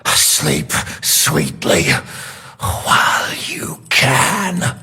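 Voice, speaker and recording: Very hoarse, battered, defiant prisoner. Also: male voice, medium pitch, wildly swooping pitch, pushed and bright, heavily acted. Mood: bitter. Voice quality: gravelly, badly hoarse, breathy. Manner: bitter, slow, defiant. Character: defiant, battered captive man spitting curses.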